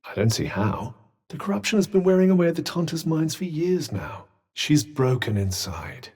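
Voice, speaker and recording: A man's voice: fairly high pitch, very animated, normal speed, darkish tone, slightly rough, exaggerated voice. An actor voicing a sentient magical cuff in a video game, a dry, witty and protective male companion with a British accent and a formal, well-spoken, advising manner. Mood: calm, dry. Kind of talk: scripted game dialogue. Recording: long reverberant tail on voice.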